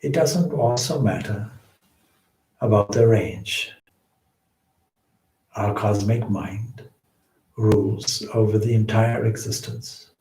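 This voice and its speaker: A man leading a guided meditation as a swami, with measured, solemn phrasing and frequent pauses. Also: on the low side, mellow. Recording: roomy, echoing indoor sound.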